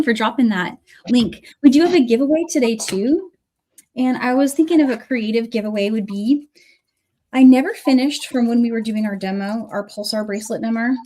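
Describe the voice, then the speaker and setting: A woman's voice: a little high, moderate pace, dark tone, a little hiss or hum. A woman with a cold, coughing now and then, hosting a casual livestream beading tutorial and chatting with viewers by name.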